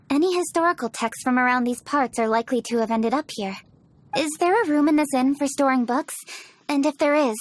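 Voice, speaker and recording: Woman as a high-voiced, poised young noblewoman. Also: close to an everyday voice. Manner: formal, articulate, matter-of-fact. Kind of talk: video game voice acting.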